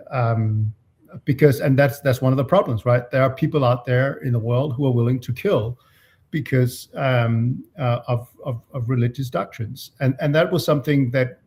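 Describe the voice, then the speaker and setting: Male, mid-pitched; author in interview, measured and argumentative, with halting delivery. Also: darkish tone. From Denmark. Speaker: author and free speech advocate being interviewed. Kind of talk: thoughtful interview answers.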